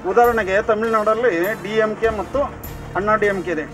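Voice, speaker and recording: A man's voice: sits high, steady tone, brisk, over music. A man, a member of the public giving an impromptu political opinion.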